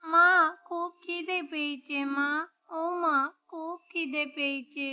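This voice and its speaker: A woman's voice: very high-pitched, steady tone, slow pace, muffled recording. A woman voicing a small, frightened, whiny girl bird in a cartoon story. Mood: whining, hungry. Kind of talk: cartoon moral story acting.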